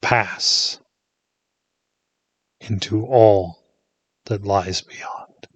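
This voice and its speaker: A man leading a guided meditation in a soothing, hushed manner, leaving long pauses between short phrases. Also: fairly low pitch, fairly even intonation, husky, dry recording.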